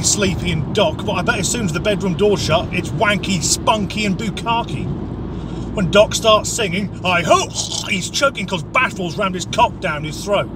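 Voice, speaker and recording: A man's voice: sits high, very noisy. A man with an English accent delivering an opinionated comic rant in a blunt, sweary, sardonic way. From Norwich area, England. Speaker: comic commentator.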